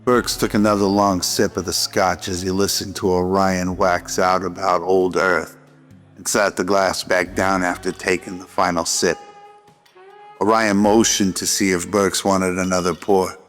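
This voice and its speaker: Low, even-toned male drama narrator. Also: unhurried. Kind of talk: scripted noir radio drama narration. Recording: music behind the voice.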